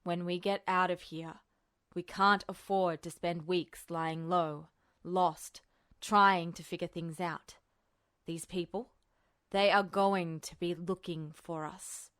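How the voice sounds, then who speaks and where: Woman voicing a low, urgent, smug older colonel. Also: steady tone, fairly bright tone, clear, resonant tone, no echo, studio quality. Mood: grave, pressing. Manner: measured, earnest, insistent. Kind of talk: fan fiction audiobook dialogue.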